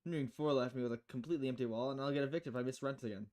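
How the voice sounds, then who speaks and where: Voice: medium pitch, rapid-fire, darkish tone, natural voice. A performer voicing a stressed, distracted young female bartender who rambles worriedly in visual novel dialogue. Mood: fretful.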